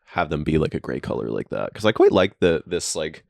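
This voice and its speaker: Deep-voiced young man, easygoing podcast chat. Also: sing-song, a little fast, gravelly, dry recording. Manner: easygoing, laughs often, loose "like" fillers. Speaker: podcast co-host. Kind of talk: casual chat. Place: basement.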